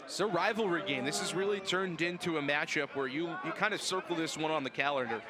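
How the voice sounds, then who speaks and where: A man's voice: on the high side, fast talker. A young man calling live soccer play-by-play as the announcer. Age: young adult. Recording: noisy outdoor stadium ambience behind. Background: outdoor match ambience.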